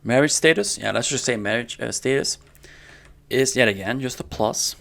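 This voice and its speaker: A man giving a casual, step-by-step coding tutorial in an informal way, often checking in with the listener, with a gravelly voice. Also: mid-range voice, normal speed, fairly bright tone. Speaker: programming tutorial instructor. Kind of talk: casual screen-recorded coding lesson. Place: indoors at a computer.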